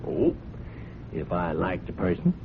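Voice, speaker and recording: An actor playing a sly, folksy farm hand turned blackmailer in a radio drama, falsely amiable and insinuating. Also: male voice, low-pitched, a little slow. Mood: sly, accusing. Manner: insinuating, falsely amiable, chuckling, hesitant phrasing. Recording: muffled, noisy old radio broadcast recording.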